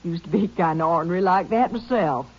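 Breathy worried mother. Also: on the low side, brisk. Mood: defensive. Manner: folksy, anxious, drawling. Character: worried rural mother defending her son. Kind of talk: 1950s radio acting. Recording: dull old radio recording.

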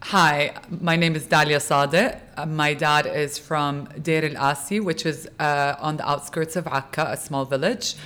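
Smooth-voiced woman explaining history. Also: deep voice, moderate pace, few pauses. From born in Kuwait, raised in California. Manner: steady, explanatory. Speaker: podcast guest.

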